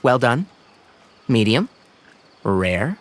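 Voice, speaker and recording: An actor playing a friendly, considerate young camper in an anime-style game, easygoing, helpful and gently wry. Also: male voice, medium pitch, big pitch swings, drawn-out words.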